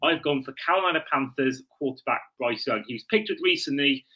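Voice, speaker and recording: Bright, forceful male sports podcast host. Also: medium pitch, a little fast, breathy edge. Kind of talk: casual sports chat.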